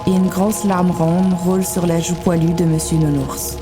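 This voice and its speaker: A woman's voice: lowish voice, flat delivery, normal speed. A woman reading scripted narration for a dramatized story. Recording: music under the voice. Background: music.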